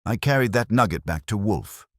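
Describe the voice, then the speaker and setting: A man's voice: lowish voice, expressive, normal speed, a bit bright, no room sound, clean studio sound. A man narrating a first-person hardboiled detective audiobook in a dramatic, wry storytelling manner.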